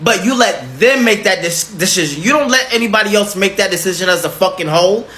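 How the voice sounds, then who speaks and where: High bright-voiced guy going off, cussing, fired up. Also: animated, brisk. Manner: heated, profane ranting. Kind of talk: angry rant to camera.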